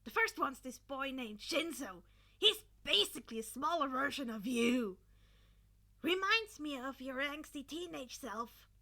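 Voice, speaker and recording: A woman voicing an exuberant, teasing male teacher in a story, with an emphatic, excitable delivery. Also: sits high, unhurried, exaggerated voice. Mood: excited.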